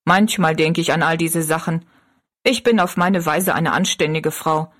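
A woman's voice: low voice, fairly even intonation, normal speed, a bit bright. A woman voicing a defensive, self-satisfied older married woman in an audiobook story, evasive and self-justifying.

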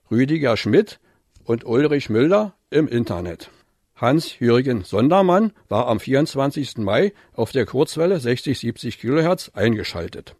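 A man's voice: mid-range voice, a little mellow, slightly rough, no room sound, studio quality. Formal man reading radio listener mail.

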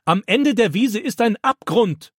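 Man playing a gentle old grandfather, pious, patient, storybook voice, dry sound. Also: on the high side, lively intonation, moderate pace, dry recording, voice pushed up. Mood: urgent calling.

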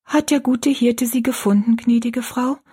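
A woman voicing an earnest, pious young girl in a storybook, gently and politely explaining things. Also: a little high, fairly even intonation, normal speed, slightly rough, voice pushed up. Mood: polite, curious.

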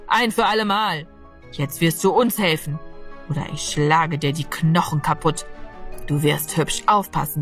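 A woman's voice: low-pitched, voice pushed down. A woman voicing a menacing, gloating man who bullies and threatens a boy in an audiobook. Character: menacing, gloating adult male villain bullying a boy. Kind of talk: audiobook character voice.

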